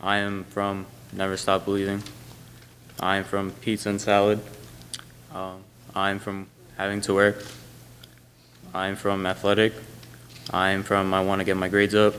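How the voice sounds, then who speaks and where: A young man from Queens recites his student poem. His voice is on the low side and barely moves in pitch. Long reverb trails it. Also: monotone, normal speed, long pauses, fairly bright tone. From Queens, New York. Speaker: student performer. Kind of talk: reciting a personal spoken word poem. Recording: reverberant hall, faint noise.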